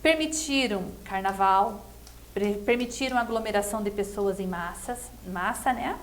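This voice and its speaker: A woman's voice: medium pitch, moderate pace, slight background noise. A Brazilian woman giving conversational political commentary in an earnest, explanatory way, often checking for agreement with tag questions. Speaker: interview guest, political commentator.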